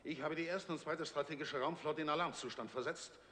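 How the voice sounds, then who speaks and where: Sharp, fast hawkish general. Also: male voice, fairly high pitch, fairly even intonation, a little hiss or hum. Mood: impatient. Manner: clipped, formal, indignant. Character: hawkish, impatient military general urging action. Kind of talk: 1960s German TV science fiction acting. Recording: echoey hall-like studio set.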